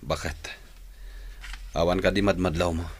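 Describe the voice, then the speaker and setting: Envious husband, lowish voice, grumbling, tight-fisted, radio drama style. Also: male voice, steady tone, normal speed, husky. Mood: suspicious. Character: suspicious, stingy husband, envious of generous neighbours. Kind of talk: Ilocano radio drama acting.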